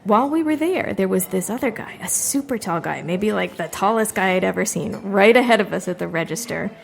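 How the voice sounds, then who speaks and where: Young wry open mic storyteller, chatty, pokes fun at herself. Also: female voice, mid-range voice, expressive, brisk, vocal fry. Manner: chatty, self-deprecating storytelling. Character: wry young woman doing a stage monologue.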